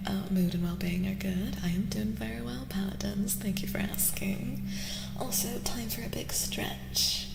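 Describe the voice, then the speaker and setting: A woman hosting an ASMR tarot livestream, speaking softly and gently, often dropping into a breathy whisper and repeating phrases soothingly. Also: medium pitch, noisy background. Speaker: livestream host, tarot reader. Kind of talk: soft-spoken ASMR livestream chat with tarot reading. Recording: reverberant echo with music underneath. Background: music.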